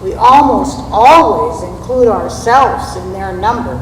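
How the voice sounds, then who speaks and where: A woman giving a scripted dramatic recitation in a church readers theater, with a deliberate, measured delivery. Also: medium pitch, expressive. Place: large reverberant church space. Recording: echoey hall, distant mic, background noise.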